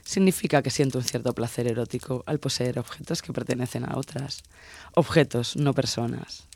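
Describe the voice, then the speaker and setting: A man's voice: mid-range voice, normal speed, gravelly, darkish tone, no echo. A man playing an intense, possessive sailor woman of twenty-six in an audio drama, speaking in an insistent, teasing way. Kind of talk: dramatised audio story dialogue.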